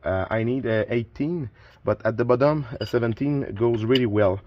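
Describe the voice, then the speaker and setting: A man's voice: mid-range voice, moderate pace, no echo, dull audio. Man with a French first language, explaining car repair. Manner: plain, instructive, with frequent restarts and filler. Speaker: DIY car repair channel host. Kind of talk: casual how-to narration while working.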